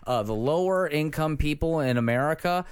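A man's voice: fairly high pitch, normal speed, smooth, dry recording, clean studio sound. A man hosting a casual panel discussion, talking animatedly with frequent restarts. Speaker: podcast host.

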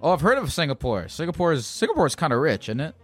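A man's voice: a little high, sing-song, fast talker, faint music behind. A man hosting a podcast and chatting with a caller, blunt and teasing, swearing freely and hesitating with many fillers. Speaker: podcast host. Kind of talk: casual chat with a caller.

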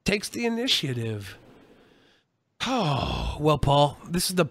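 A man's voice: fairly high pitch, huge pitch swings, slow pace, gravelly, fairly bright tone, no room sound. A man co-hosting a podcast, chatting casually in a jokey, rambling, profane way. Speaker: podcast co-host.

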